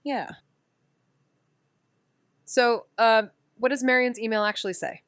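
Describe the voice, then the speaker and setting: A woman's voice: fairly high pitch, measured pace, fairly bright tone, clear, resonant tone, no room sound. An actress playing a sarcastic, playful but secretly tender young woman in a naturalistic audio drama, with a flippant, casual delivery. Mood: gentle.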